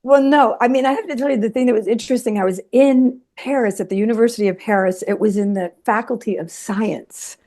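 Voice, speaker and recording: A woman's voice: a little high, fairly quick, hardly any pauses, dark tone. A woman from Massachusetts speaking as an author guest in a casual interview, informal and anecdotal, often restarting her sentences. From Watertown, Massachusetts, United States.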